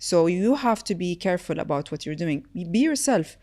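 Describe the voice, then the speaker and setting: A woman in her forties, a chef, talking as a podcast guest in quick animated bursts and laughing easily. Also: on the low side. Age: 40s. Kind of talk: casual interview chat.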